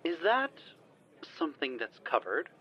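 A man playing an earnest, improvising smooth talker from an exploration team in an audio drama, formal but halting. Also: fairly high pitch, wildly swooping pitch, highs cut off. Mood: formal, bluffing.

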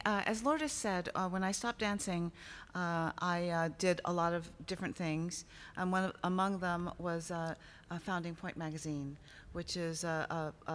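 Woman, artistic director, live panel guest, lowish pitch, measured, pausing, faint background hum. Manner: measured, thoughtful, with frequent "uh" pauses. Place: stage before a live audience.

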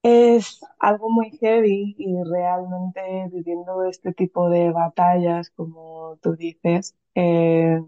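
A woman's voice: fairly low pitch, little pitch movement, slow pace, few pauses, dry recording. A woman hosting a podcast interview over a video call, chatty and enthusiastic. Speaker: podcast host. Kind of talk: casual interview. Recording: dull, lo-fi, dry sound.

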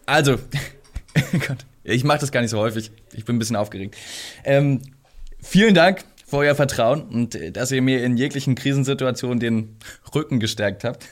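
A man acting as an ambitious newsroom editor in an audio drama, newly promoted and making a grateful speech. He sounds a little flustered, with a mid-pitched voice. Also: normal speed, natural voice. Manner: grateful, slightly nervous speech-maker.

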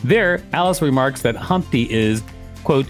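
A man's voice: mid-range voice, animated, unhurried, soft background music. A man co-hosting a podcast, reading an encyclopedia article aloud and adding casual commentary. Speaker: podcast co-host.

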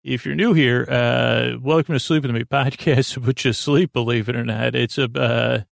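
A man with a creaky, lulling voice hosting a bedtime podcast, meandering haltingly and interrupting himself as he drifts between thoughts. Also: mid-range voice, steady tone, no echo, clean studio sound. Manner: halting, digressive, self-interrupting. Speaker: host of a bedtime sleep podcast. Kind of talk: rambling, meandering bedtime monologue.

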